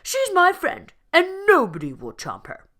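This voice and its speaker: Woman voicing a gentle lion poet, higher-pitched and earnest. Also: huge pitch swings, a little slow, full character voice. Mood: firm.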